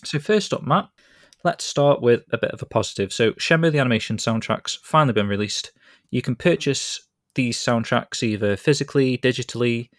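A man's voice: medium pitch, dry recording, clean studio sound. A man with a British accent hosting a podcast in a chatty, rambling way and laughing easily. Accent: British English. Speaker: podcast host. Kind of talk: casual podcast chat.

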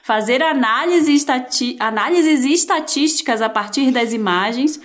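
A woman's voice: high-pitched, moderate pace. A woman, a podcast co-host reading the news aloud in casual chat.

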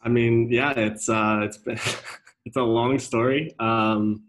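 A man's voice: mid-range voice, fairly even intonation, takes it slowly, fairly bright tone. A young man from Denmark, a guest in a casual interview, who speaks hesitantly with many ums and restarts. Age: young adult.

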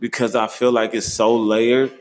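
A young man hosting a casual travel chat, relaxed and slangy, laughing easily. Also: mid-range voice, moderate pace, faint music behind. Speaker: host.

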